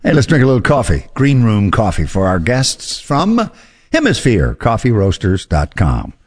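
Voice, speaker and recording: Lively low-voiced male radio host. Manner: upbeat, conversational pitch. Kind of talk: ad-libbed sponsor read.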